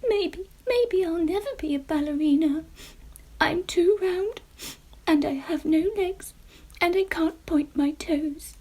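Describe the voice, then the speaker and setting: A woman voicing Jemima, a young girl pumpkin who is hopeful and tearful, with a high, bright, pleading voice. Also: very animated, measured pace, heavily acted.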